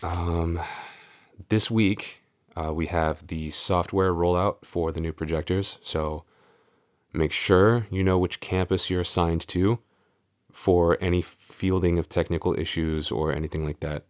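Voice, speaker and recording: A man playing a shy, easily flustered tsundere boyfriend and office coworker in an intimate audio roleplay, with a halting, stammering delivery. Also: low-pitched, fairly even intonation, no echo, studio quality. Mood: businesslike, hesitant.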